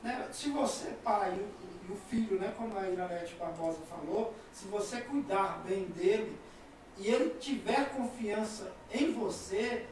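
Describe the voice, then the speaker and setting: A Brazilian man in his forties, a talk show guest speaking calmly and giving personal examples. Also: high-pitched, a little slow, a little hiss or hum. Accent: Brazilian Portuguese. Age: 40s. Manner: calm, agreeing, tells personal examples. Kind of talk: conversational talk. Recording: echoey room, voice from far off.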